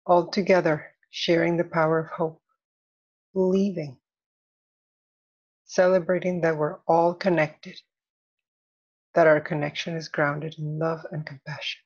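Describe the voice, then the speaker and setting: A woman leading a guided meditation as an instructor, soothing and deliberate, in measured phrases with long pauses. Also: deep voice, level tone, a little mellow, muffled recording.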